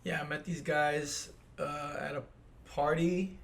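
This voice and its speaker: Hoarse, laid-back male podcast host. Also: fairly high pitch, little pitch movement, takes it slowly, fairly bright tone. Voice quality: hoarse, clears throat. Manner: loose, joking, swears freely. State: losing his voice. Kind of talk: casual chat.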